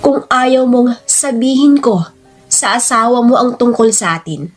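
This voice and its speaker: A lovesick man acted out by a woman narrator pleads with an old flame in a high voice, drawing out his words with emotion. He is insistent and pushy, in radio-drama style over music. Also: expressive, unhurried. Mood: insistent, hurt.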